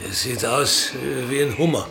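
A man's voice: mid-range voice, normal speed, creaky, noisy background, natural voice. An actor playing a dry, long-suffering man in a radio drama, speaking politely with understated hesitation. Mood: politely curious. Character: dry, long-suffering man reluctantly tending an old puppeteer.

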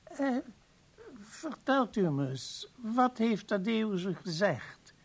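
A man's voice: high-pitched, sing-song, takes it slowly, husky, exaggerated voice. An actor playing a kindly, wistful professor in his seventies in a radio play, hesitant and courteous as he rambles on. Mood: warm, confiding. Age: 70s. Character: kindly, wistful old professor heading a provincial hospital. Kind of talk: Dutch radio play acting.

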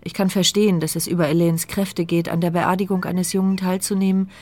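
A woman's voice: lowish voice, little pitch movement, a little fast, darkish tone, soft background music, lightly acted. An actress voicing a paralysed, mute woman whose anxious thoughts narrate a radio play. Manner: tense, fearful, introspective. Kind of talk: radio play inner monologue.

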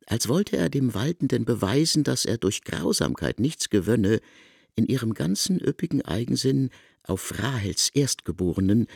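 A man reading scripted literary narration in a grave, measured, formal manner. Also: fairly low pitch, creaky, breathy edge, dry recording, studio quality.

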